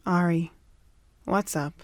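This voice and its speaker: A woman's voice: lowish voice, fairly even intonation, takes it slowly, no echo. A young woman playing a chirpy, caring and nosy college student in an amateur audio drama. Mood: concerned.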